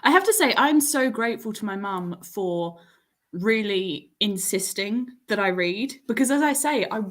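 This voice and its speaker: A woman in her late twenties chatting casually as a panelist, telling stories in an animated, self-mocking way. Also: mid-range voice, moderate pace. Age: late 20s. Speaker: panelist in a writers' livestream. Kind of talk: casual chat.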